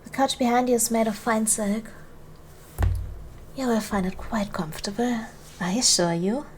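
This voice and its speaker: A woman playing a gracious, commanding duchess in an ASMR roleplay, speaking in a formal, measured, soothing way to a servant she protects. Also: fairly high pitch, a little hiss or hum, lightly acted. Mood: gentle, reassuring. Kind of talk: ASMR roleplay, soft formal period speech.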